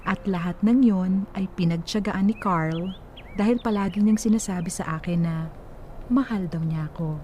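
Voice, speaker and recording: A woman playing a young Filipino letter sender, narrating her love story in a confiding, measured way for radio drama. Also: medium pitch, lightly acted. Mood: reflective, grateful. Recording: faint background noise.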